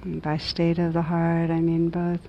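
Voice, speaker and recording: Slow, low-voiced woman guiding meditation. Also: completely flat delivery. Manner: gentle, measured, long pauses between phrases. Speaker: meditation teacher. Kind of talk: guided meditation, slow and reflective. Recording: dull muffled audio, low rumbling noise.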